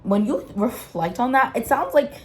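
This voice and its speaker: A woman's voice: expressive, normal speed, husky. Young woman vlogging, rambly and blunt, lots of like, swears, voice shoots up when she's annoyed. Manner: blunt, swears, many "like" fillers; sudden jumps up in pitch when worked up. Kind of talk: casual drama commentary.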